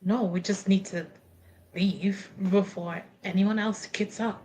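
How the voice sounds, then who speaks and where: A woman's voice: mid-range voice, fairly even intonation, slow pace, dark tone. A woman playing a tabletop roleplaying game, talking casually and narrating her character's actions.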